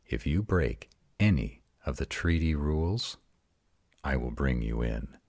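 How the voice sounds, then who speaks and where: Man acting as very deep-voiced arrogant vampire. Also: lively intonation, darkish tone, no echo, studio quality, full character voice. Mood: stern, commanding. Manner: formal, measured, stern. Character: arrogant, commanding adult male vampire. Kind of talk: audio roleplay acting.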